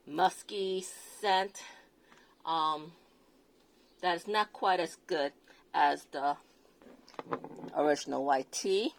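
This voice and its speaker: Lady with an accent chatting about perfume, low voice, lots of ums and restarts. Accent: non-native English accent. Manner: hesitant, many fillers and restarts. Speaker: perfume reviewer. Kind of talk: casual product review vlog.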